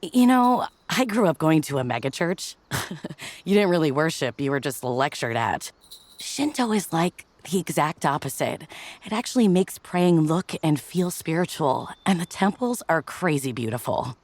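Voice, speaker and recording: An easygoing, frank woman, played by a voice actress in a motion comic. Her voice is low for a woman and dry in the recording, and she talks casually with a bit of slang. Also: sing-song, normal speed, a little mellow, no room sound. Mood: playful.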